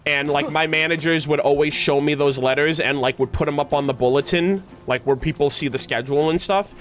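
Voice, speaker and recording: A man's voice: a little high, fairly even intonation, a little fast, fairly bright tone. A man chatting casually as a livestreamer, reminiscing and answering viewers in a rambling, conversational way peppered with "like". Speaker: video game streamer. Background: background music.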